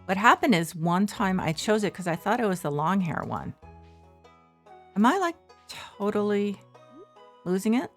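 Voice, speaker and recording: A woman streaming a farming game from her shared living room, rambling softly with many fillers. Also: mid-range voice, sing-song, a little slow, pauses often, faint music behind. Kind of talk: casual gameplay commentary.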